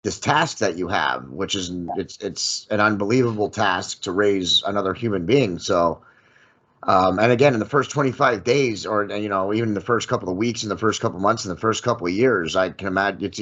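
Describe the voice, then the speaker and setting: A man's voice: lowish voice, fairly quick, a bit bright. A man hosting a casual podcast conversation, agreeable and supportive, affirming his guest often. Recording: dull lo-fi sound.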